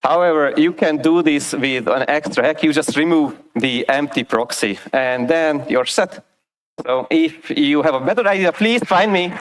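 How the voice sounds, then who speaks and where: Man presenting in choppy, halting phrases. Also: a little high. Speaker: conference lightning talk speaker. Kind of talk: technical conference presentation. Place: conference stage, indoors.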